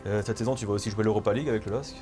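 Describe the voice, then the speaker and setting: A man's voice: on the low side, fairly even intonation, very fast, music in the background. A man interviewing a new player for the club, leading with prepared questions and stumbling now and then. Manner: leads with set-up questions, occasional stumbles.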